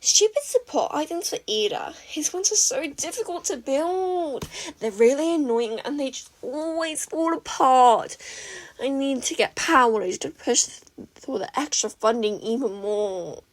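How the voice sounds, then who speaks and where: A woman voicing an excitable, gossiping teenage girl inventor who talks with breathless emphasis. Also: sits high, unhurried, voice pushed up, rough recording. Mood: grumbling.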